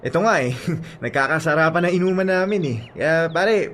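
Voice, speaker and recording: An actor playing a chummy young male drinking buddy in a Filipino radio drama, talking in a coaxing, animated way. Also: fairly high pitch, moderate pace, slight background noise, lightly acted.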